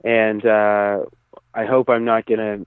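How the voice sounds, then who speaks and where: A man's voice: fairly low pitch, level tone, takes it slowly, clear, resonant tone, no room sound. A man chatting casually as an interview guest over the telephone, with hesitant, easygoing phrasing. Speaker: band lead singer, interview guest. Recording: dull, band-limited phone line.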